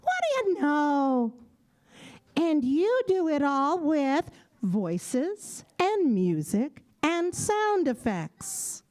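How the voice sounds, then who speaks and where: A woman with a very high, swooping voice co-presents a scripted show for children. She speaks slowly, with playful, theatrical questions. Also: very animated, darkish tone. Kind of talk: scripted stage patter for children. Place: live event at a public library.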